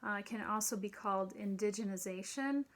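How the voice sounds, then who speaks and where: A middle-aged woman giving a recorded lecture from a room at home, speaking deliberately with frequent ums and the occasional throat clearing. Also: mid-range voice. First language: English. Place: home room with children's drawings on the wall.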